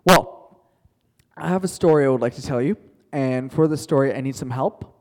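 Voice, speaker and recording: A man's voice: mid-range voice, moderate pace, big-room sound. A male teacher telling a playful made-up story to his class, chatty and joking, calling on students by name.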